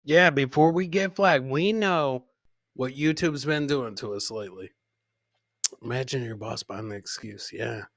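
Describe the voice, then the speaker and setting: A man's voice: mid-range voice, measured pace, fairly bright tone, no room sound, studio quality. A man hosting a casual live comedy show, commenting on clips, repeating his phrases and talking to his producer and viewers. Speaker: podcast host.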